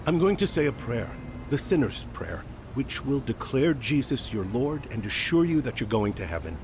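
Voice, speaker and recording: An actor playing an earnest, eager, polite street pastor in a radio drama. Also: male voice, mid-range voice, big pitch swings, moderate pace, noisy background, natural voice. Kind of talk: radio drama acting with first-person narration.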